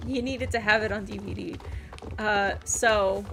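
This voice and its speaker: A woman's voice: medium pitch, steady tone, unhurried, creaky, fairly bright tone, faint music behind. A woman livestreaming a game, chatting and rambling casually and reading things aloud as she plays. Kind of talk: casual stream chat and game commentary.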